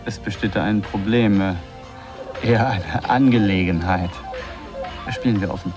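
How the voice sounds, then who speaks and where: A man acting a secretive, smooth-talking manager in a German radio drama, polite and coaxing. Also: on the low side, moderate pace, music in the background, lightly acted. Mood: coaxing, discreet. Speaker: actor.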